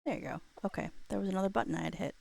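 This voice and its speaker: A woman's voice: medium pitch, rapid-fire, vocal fry, a little mellow, dry recording. A woman livestreamer chatting casually, rambling playfully and half talking to herself. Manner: rambling, talks to self while working, playful. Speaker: livestreamer, host.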